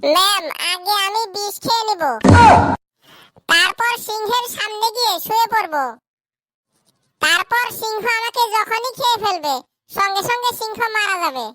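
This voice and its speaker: A woman's voice: very high-pitched, moderate pace, noisy background. A woman voicing a chubby, silly schoolboy in a comedy cartoon, speaking earnestly and with confidence.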